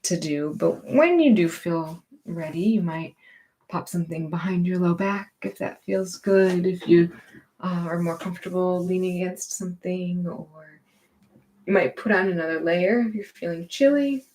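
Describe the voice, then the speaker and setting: A woman teaching gentle movement and meditation in a reassuring, permissive, unhurried manner. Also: on the low side.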